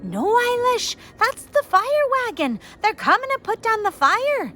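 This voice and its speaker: A woman's voice: extremely high pitch, very animated, a little slow, sharp tone, pitched above the performer's own voice, exaggerated voice. A woman voicing a protective older sister, a young girl in a storybook, firm and urgent.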